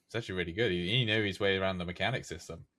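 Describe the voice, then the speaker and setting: A young man of nineteen speaks in a deep, low voice as a podcast guest. He chats casually and wanders through his thoughts, with lots of fillers and restarts. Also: very fast, sharp tone. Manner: rambling, many fillers and restarts. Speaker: podcast guest, game map creator. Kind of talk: casual chat.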